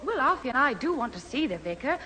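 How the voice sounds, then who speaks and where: A woman's voice: sits high, sing-song, fast, sharp tone, full character voice. A woman playing an earnest, impatient young granddaughter in a radio sitcom. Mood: exasperated. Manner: pleading, exasperated. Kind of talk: 1970s radio sitcom acting. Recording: reverberant radio show, audience noise.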